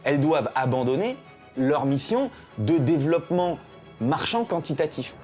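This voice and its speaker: A man's voice: a little high, expressive, normal speed, darkish tone, faint music behind. A man giving analytical, argumentative commentary in an interview for a documentary.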